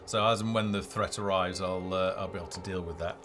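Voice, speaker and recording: A man's voice: sits low, fairly even intonation, quick pace, noisy background. A man giving live gameplay commentary as a developer and stream host, thinking aloud hesitantly. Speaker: game developer hosting a livestream. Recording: game music behind the voice. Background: game music.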